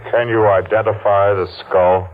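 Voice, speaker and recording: An actor playing a menacing masked man seeking revenge, taunting and deliberate, in 1940s radio acting style. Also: fairly low pitch, steady tone, a little hiss or hum, close to an everyday voice. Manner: taunting, slow and deliberate, laughs mockingly. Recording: muffled old radio recording.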